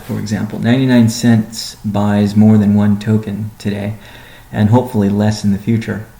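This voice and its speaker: A man, a company chief executive, answering community questions in a measured, deliberate, businesslike way on a weekly conference call. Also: fairly low pitch, creaky, warm tone, echoey room, low-quality recording.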